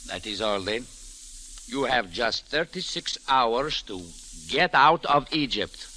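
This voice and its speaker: An actor playing an imperious Egyptian government official, curt, formal and menacing, in an old-time radio drama. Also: male voice, mid-range voice, lively intonation, takes it slowly, bright, forceful tone, soft background music, full character voice, poor audio. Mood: cold, threatening. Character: cold, imperious Egyptian foreign ministry official. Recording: hissy old radio recording.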